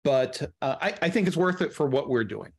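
A man's voice: fairly high pitch, lively intonation, moderate pace, vocal fry, slightly rough. Middle-aged man explaining casually in detail. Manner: explains at length in practical detail. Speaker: guest, audio drama producer. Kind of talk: casual interview chat. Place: indoor room, video call.

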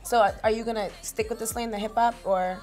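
A woman's voice: fairly high pitch, fairly even intonation, fast talker, a little mellow, soft background music. A woman in her late twenties hosting an interview, chatty and teasing in a casual way. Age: 20s. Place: small studio with branded backdrop.

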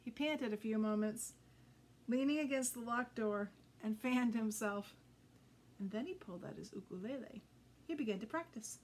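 High-voiced middle-aged woman reading a storybook. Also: normal speed. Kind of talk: expressive children's storybook read-aloud. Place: indoor room with green curtain backdrop.

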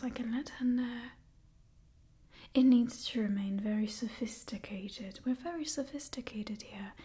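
A young woman playing a haughty, doting immortal vampire in a whispered ASMR roleplay, speaking softly and breathily in a refined, coaxing way. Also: medium pitch, lightly acted. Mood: haughty, approving. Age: young adult. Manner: slow, refined, coaxing, faintly imperious. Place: small indoor set with wooden backdrop. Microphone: close mic, out of frame. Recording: close-miked whisper, noticeable hiss, soft clicks.

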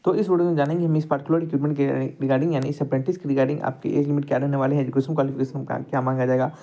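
A man's voice: medium pitch, flat delivery, rapid-fire, warm tone. A man tutoring students over a screen-recorded lesson, explaining step by step and addressing them directly. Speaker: exam tutor.

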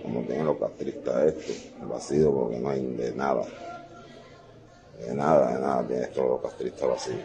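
A man's voice: extremely low pitch, steady tone, normal speed, gravelly, crowd noise. A man mocking the emptiness of a hospital ward while filming it. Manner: scornful, mocking. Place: empty hospital ward indoors.

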